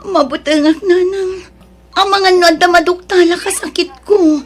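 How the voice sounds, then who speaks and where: A woman's voice: very high voice, fairly even intonation, moderate pace. A woman acting a worn-down, sorrowful wife and mother in an audio drama, plaintive and often tearful. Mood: anxious, tearful.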